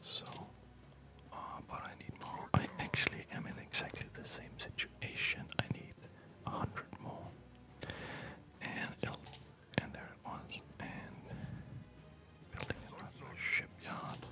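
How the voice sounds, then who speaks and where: Whispered, slow ASMR gaming commentary. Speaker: gaming video host.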